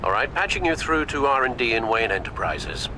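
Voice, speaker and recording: An actor voicing a calm, dutiful male assistant on a comms line in a video game. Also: mid-range voice, a little fast, natural voice. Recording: game audio with background noise.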